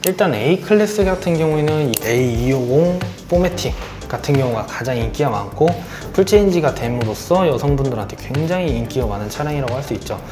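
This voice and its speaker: A man working as a car dealer, giving a polite and persuasive sales pitch on promotions while speaking low because of a sore throat. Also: animated, a little fast, few pauses. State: sore throat, speaking lower than usual. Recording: roomy echo with background music.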